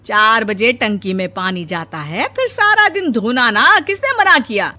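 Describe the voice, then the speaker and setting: A woman playing an indignant middle-aged village mother-in-law in an animated moral story, scolding and emphatic. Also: a little high, unhurried. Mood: firm, curt.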